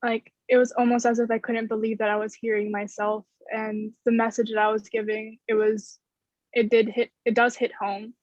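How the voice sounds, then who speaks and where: A woman in her twenties speaking earnestly and in a measured way as a student panelist on a dull video call. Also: a little high, flat delivery, darkish tone, no room sound. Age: 20s. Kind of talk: thoughtful panel discussion. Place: bedroom indoors.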